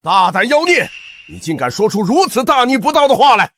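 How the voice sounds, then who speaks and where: An actor playing an indignant immortal servant who stammers and accuses. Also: male voice, very high voice, sing-song, fairly quick, a bit bright, a little hiss or hum, exaggerated voice. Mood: accusing. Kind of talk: short-drama acting.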